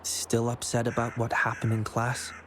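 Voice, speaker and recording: A man as a cautious student, mid-pitched. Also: monotone, moderate pace, slight background noise, close to an everyday voice. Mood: wary, teasing. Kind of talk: video game voice acting.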